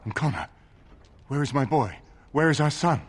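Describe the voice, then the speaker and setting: An actor playing a grave older nobleman in a fantasy game, with formal, measured, commanding delivery. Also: on the high side, lively intonation, husky. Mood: weak, bewildered. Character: grave noble lord, older man recovering from illness.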